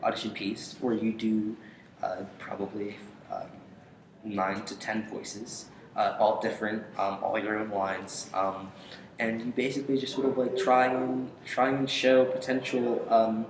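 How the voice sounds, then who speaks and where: Hesitant young man in an echoey room. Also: on the low side. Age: young adult. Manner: hesitant, halting, pausing often. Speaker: interview guest, aspiring voice actor. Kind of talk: casual interview answers. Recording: echoey room with faint noise.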